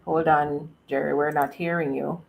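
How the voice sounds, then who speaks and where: A woman's voice: low voice, flat delivery, normal speed, creaky, narrow, tinny audio. A woman moderating investor questions on a corporate earnings call.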